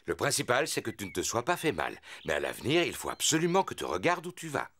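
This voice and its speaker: A man's voice: medium pitch, huge pitch swings, fast, a bit bright, exaggerated voice. A man voicing a jovial, reassuring big daddy pig in a cartoon dub, given to jolly exclamations.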